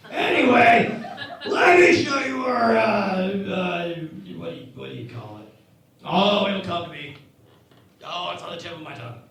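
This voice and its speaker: A man's voice: high-pitched, lively intonation, a little slow, fairly bright tone. A man playing a rambling, scatterbrained engineering lieutenant who hunts for words in a sci-fi parody radio play. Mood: amused, scatterbrained. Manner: hesitant, scatterbrained, word-searching. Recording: very echoey, distant mic.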